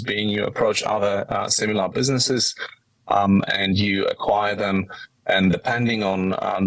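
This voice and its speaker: Low-voiced middle-aged Polish-accented man. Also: a bit bright, no room sound, low-quality recording. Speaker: podcast guest, company managing director. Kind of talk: conversational interview answers.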